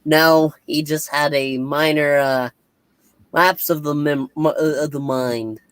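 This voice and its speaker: A man hosting a casual review chat, rambling and halting as he corrects himself. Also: a little high, steady tone, a bit bright, clean tone, no room sound. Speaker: host.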